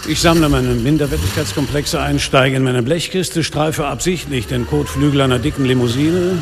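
An actor playing a weary, sardonic middle-aged police inspector, narrating a noir radio drama in a dry, laconic way. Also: male voice, mid-range voice, moderate pace, creaky, background noise, natural voice. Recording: music behind the voice.

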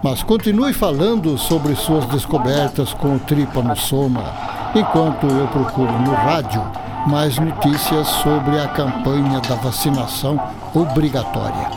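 An actor playing an admiring, courteous early twentieth-century scientist and mentor in a radio drama, formal and effusive, with a Brazilian accent. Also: male voice, mid-range voice, measured pace, over loud music, lightly acted, low-quality recording. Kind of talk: educational radio drama acting.

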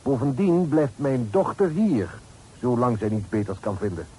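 An actor playing a formal, guarded father who rents rooms, in a measured, haughty radio drama style. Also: mid-range voice, big pitch swings, warm tone, slightly rough. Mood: cold, defiant. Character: dignified, guarded father renting rooms, coldly defiant. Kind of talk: Dutch period radio drama acting. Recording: noisy old radio play recording.

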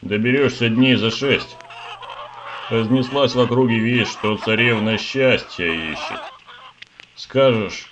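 A man's voice: medium pitch, takes it slowly, natural voice. A man voicing a bossy, folksy poor peasant father giving orders in a rhymed comic fairy tale. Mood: bossy urging. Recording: clear farmyard noise with rooster behind.

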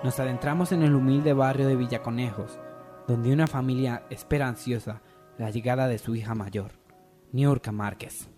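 Male radio soap narrator over loud music. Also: medium pitch, steady tone, normal speed. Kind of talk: solemn scripted narration of parody radio soap.

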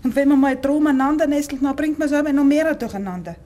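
An actress playing an earnest, determined young country woman with a Bavarian accent in a 1950s radio play, speaking in a measured, insistent way. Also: high voice, dark tone, lightly acted. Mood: confiding. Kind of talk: 1950s German dialect radio play acting. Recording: 1950s radio recording with faint hiss.

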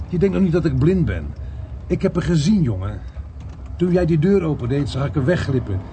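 An actor playing a blunt, sardonic, bossy police inspector who mutters asides in a Dutch radio crime drama. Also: male voice, mid-range voice, expressive, fairly quick, close to an everyday voice. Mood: exasperated, scolding. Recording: noisy radio drama recording.

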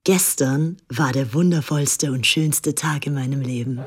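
A woman's voice: a bass voice, a little slow, crowd noise. An actress playing a rapturous, fanatical young English socialite of the 1930s in a gushing, girlish radio drama style. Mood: dreamy. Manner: gushing, girlish, theatrically excited. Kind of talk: radio drama acting, breathy diary monologue. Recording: music behind the voice. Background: music.

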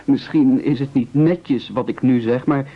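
An actor playing an anxious, selfish, fussily polite castaway gentleman in an absurdist radio play, hesitant and stammering over words. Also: medium pitch, measured pace, slightly rough. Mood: nervous, confessing. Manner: hesitant, stammering repetitions, indignant. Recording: dull lo-fi old radio recording.

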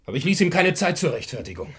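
An actor playing a cornered gangster in a German radio crime drama, bitter and defensive, with terse speech and dramatic emphasis. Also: male voice, fairly high pitch, big pitch swings, fast talker, fairly bright tone, slightly rough, heavily acted. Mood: grim confession.

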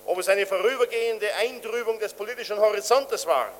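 A man giving a formal political speech in a measured, oratorical manner. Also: high-pitched. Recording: archive speech, echoey hall, humming noise.

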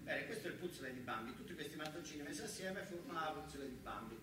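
A man's voice: a little high, expressive, fast, very echoey, distant mic, very noisy, voice pushed down, lightly acted. A man playing a pompous, formal factory recruiter who questions and praises applicants in a stage monologue. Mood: formal, instructing. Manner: formal, examining, full of praise. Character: pompous, probing male factory recruiter from Turin.

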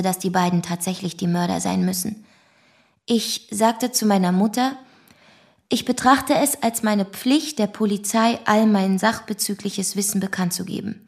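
An actress playing a principled young shop assistant, giving a formal, precise police statement in a radio play. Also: female voice, mid-range voice, close to an everyday voice.

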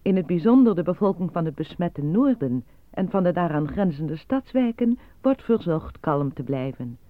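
An actress playing a formal, impassive newsreader, giving measured scripted bulletins within a radio drama. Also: female voice, lowish voice, very animated, dry recording. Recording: dry, tinny narrow-band radio sound.

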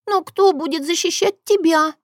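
Woman doing a shrill, feisty little girl. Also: moderate pace, dry recording, studio quality. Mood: sulky, worried. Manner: shrill, stubborn, sulky. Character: feisty little girl, fiercely protective sister.

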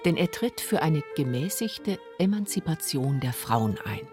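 A woman's voice: low voice, big pitch swings, a little slow, music in the background. A woman narrating a scripted radio documentary.

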